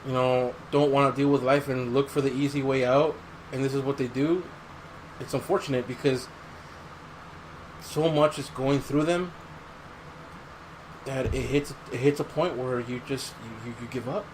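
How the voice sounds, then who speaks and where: A man's voice: mid-range voice, fairly even intonation, moderate pace, pauses often, slight background noise, poor audio. A man chatting casually as a podcast co-host, repeating phrases for emphasis. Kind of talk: casual chat.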